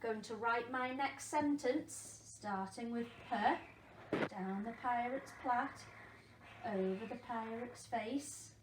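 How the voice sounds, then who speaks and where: Echoey room, faint noise, female primary teacher, encouraging, question-and-pause phonics delivery for little ones. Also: on the high side. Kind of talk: interactive phonics lesson for young children.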